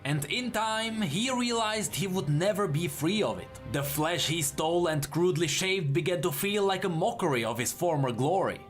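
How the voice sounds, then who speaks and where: Man narrating fantasy lore, animated, slightly high. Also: moderate pace, few pauses, soft background music. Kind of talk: dramatic scripted story narration.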